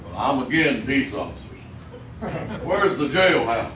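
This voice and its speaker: A man's voice: mid-range voice, big pitch swings, unhurried, fairly bright tone. A man acting a stubborn, grim backwoods mountain man with a rural Southern drawl in a live old-time radio western. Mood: blunt, suspicious. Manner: folksy, blunt backwoods talk. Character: tall grim Ozark mountain man, plain-spoken and stubborn. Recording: distant, echoey theater with noise.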